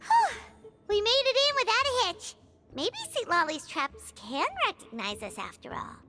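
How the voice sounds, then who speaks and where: A tiny fairy girl from a video game, extremely high and squeaky. Her delivery is bright, piercing and full of lively swoops, chirpy and inquisitive, exclaiming like an eager child. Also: normal speed, soft background music, full character voice. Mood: concerned, excited. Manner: expressive, exclamatory. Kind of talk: animated video game voice acting.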